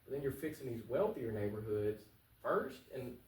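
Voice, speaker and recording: A man's voice: medium pitch, big pitch swings, normal speed, a little mellow. An American man giving earnest, informal interview answers as a political candidate, repeating phrases for emphasis. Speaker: political candidate, talk show guest. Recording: roomy echo, distant mic, faint noise.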